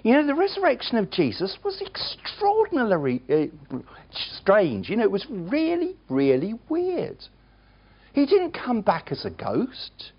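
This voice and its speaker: Preacher, sermon delivery, very lively pitch swings, unhurried, on a dull lo-fi recording. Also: husky. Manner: emphatic preaching with chatty asides.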